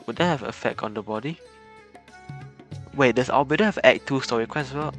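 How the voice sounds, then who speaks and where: Young male streamer, chatty, rambling, laughs easily, average pitch. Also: fairly quick, fairly bright tone, soft background music. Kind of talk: casual live game commentary.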